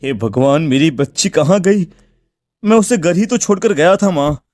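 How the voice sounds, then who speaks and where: A man's voice: on the high side, lively intonation, normal speed, a little mellow, dry recording, clean studio sound, close to an everyday voice. A man voicing an anxious, lamenting village father in a cartoon story. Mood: anxious, despairing. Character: distraught village father searching for his daughter. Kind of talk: Hindi cartoon story acting.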